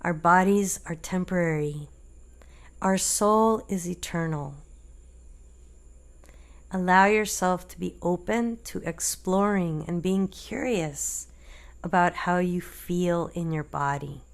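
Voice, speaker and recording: A woman leading a guided meditation in a gentle, deliberate manner, leaving long pauses between short phrases. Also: fairly low pitch.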